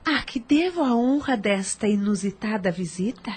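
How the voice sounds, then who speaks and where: A woman acting a husky, swooping seductive socialite. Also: mid-range voice, expressive, moderate pace, darkish tone. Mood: flirtatious. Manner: coy, teasing, theatrical. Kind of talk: audio drama acting.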